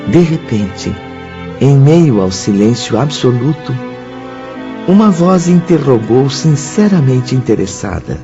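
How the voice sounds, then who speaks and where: A man with a Brazilian accent narrating a radio drama in a solemn, measured style. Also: medium pitch, big pitch swings. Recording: muffled lo-fi audio. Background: sad background music.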